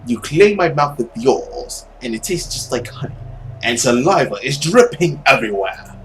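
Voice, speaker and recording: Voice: mid-range voice, big pitch swings, normal speed, slight background noise, low-quality recording. A video host reading a visual novel aloud as a theatrical narrator, with casual asides.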